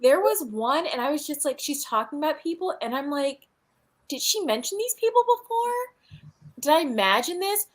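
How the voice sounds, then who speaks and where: Animated, high-voiced woman chatting about books. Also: moderate pace. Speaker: host of a book reading livestream. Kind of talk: casual chat about books.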